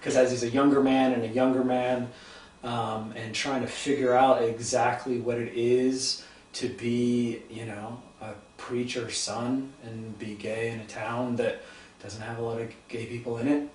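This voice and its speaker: A man with an Ohio accent hosting a cooking and book chat, talking in a thoughtful, halting way with many ums. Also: medium pitch, level tone, a little mellow, recorded from a distance. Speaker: host. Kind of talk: casual interview chat. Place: home kitchen. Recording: echoey room, voice off-mic, faint hum.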